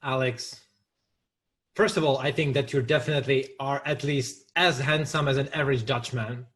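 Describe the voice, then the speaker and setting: Man giving casual feedback, medium pitch. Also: fairly even intonation, normal speed, fairly bright tone. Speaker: event participant giving feedback.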